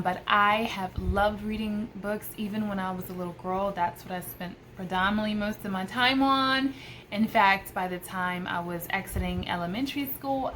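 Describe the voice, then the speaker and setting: A woman's voice: mid-range voice, a bit bright. Enthusiastic American woman with background noise. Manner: enthusiastic, emphatic with repeated phrases. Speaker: homeschooling mother giving tips. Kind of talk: casual vlog advice to camera. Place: indoors, small room by a window.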